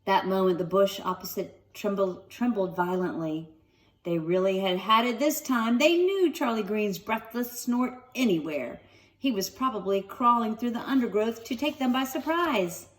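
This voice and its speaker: A woman narrates a children's book in an expressive storytelling style at a medium pitch. She slows for dramatic phrases and sits a little way from the mic. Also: distant mic. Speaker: storybook reader. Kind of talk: children's read-aloud narration with casual asides. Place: sunroom at home.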